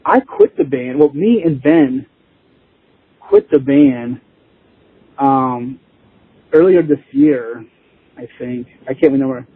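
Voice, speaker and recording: A man chatting casually as an interview guest, informal and rambling, with a husky voice. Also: medium pitch. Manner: informal, rambling, chuckling easily. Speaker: interview guest, band musician. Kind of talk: casual chat. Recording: tinny phone-like line.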